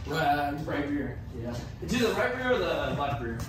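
A man's voice: on the high side, big pitch swings, moderate pace. A young American man working as a handyman helper, explaining things casually and jokingly to a friend. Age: young adult. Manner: joking, informal. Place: garage, leaning over truck engine bay. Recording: noisy garage, handheld camera sound.

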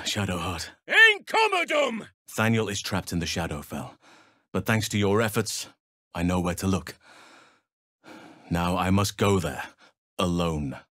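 A man voicing a noble, gentle-mannered archdruid in a fantasy video game, speaking in a measured, earnest, formal way. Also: on the low side, no echo, studio quality, heavily acted. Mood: tender, romantic, then urgent battle cries. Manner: measured, earnest, formal, old-fashioned phrasing.

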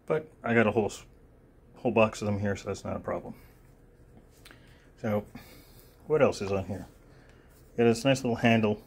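A man with a lowish voice talks through a gadget demonstration. He is offhand and halting, thinking aloud in an unscripted, casual way while recording on a phone. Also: darkish tone. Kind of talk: casual unscripted product demo. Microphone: phone held near the hands filming.

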